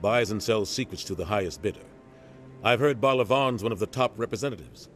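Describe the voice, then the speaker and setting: Guy playing a seasoned military mentor in a video game, low voice, grave n cynical but principled, music behind. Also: close to an everyday voice. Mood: grave, measured. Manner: measured, grave, matter-of-fact explaining. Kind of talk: scripted game dialogue. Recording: game dialogue over background music.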